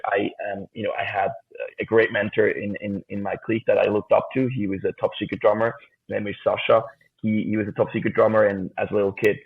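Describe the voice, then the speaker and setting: Young man, Swiss accent with American touches, gravelly husky voice, casual and laughing, tinny phone-like audio. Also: fairly low pitch. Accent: Swiss with some American. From Switzerland. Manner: laughs often, restarts phrases. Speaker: guest drummer. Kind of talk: casual interview answers. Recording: tinny phone-like remote line.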